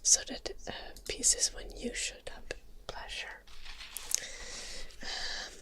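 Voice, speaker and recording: A woman, sick with a stuffy nose, whispering through a casual ASMR livestream, greeting viewers by name and swearing offhandedly. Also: takes it slowly. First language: Finnish.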